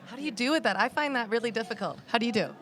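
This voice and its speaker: A woman's voice: fairly high pitch, rapid-fire, noisy background. A woman co-hosting on a red carpet, gushing and enthusiastic with her guests. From Canada. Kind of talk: chatty red carpet interviewing. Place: outdoor red carpet event. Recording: crowd chatter behind.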